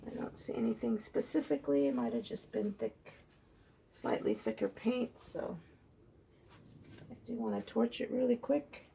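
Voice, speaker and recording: Hoarse, gravelly woman painter. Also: on the high side, sing-song, normal speed, slight background noise. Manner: soft-spoken, chatty, muttering while working. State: hoarse, froggy voice. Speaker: acrylic pour painter. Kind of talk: casual narration while painting. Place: indoor craft table. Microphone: phone held high above the table.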